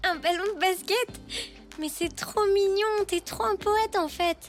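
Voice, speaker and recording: Girly little cartoon schoolgirl, super high voice, dramatic, loud music under it. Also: a little slow. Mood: gushing. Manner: girlish, dramatic. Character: young schoolgirl, dramatic and gushing. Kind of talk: French animated cartoon acting.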